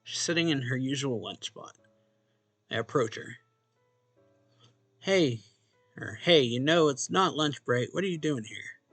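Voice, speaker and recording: A man's voice: mid-range voice, lively intonation, unhurried, long pauses, fairly bright tone, husky, no echo. A man reading a visual novel's narration and dialogue aloud expressively, as a let's play host. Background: game music.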